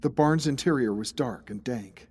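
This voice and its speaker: An actor playing a grave storyteller, narrating a friend's dark case in a scripted audio drama. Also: male voice, mid-range voice, moderate pace, faint music behind, lightly acted. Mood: grave, ominous.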